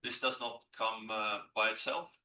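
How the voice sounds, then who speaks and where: A man from Norway talks informally on a conference panel, often pausing and restarting his sentences. His voice carries from a distance. The room is large and echoing. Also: lowish voice, steady tone, pushed and bright, a little hiss or hum. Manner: informal, frequent fillers and restarts. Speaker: government policy official, panelist. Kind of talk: unscripted conference remarks. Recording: echoey room, distant mic.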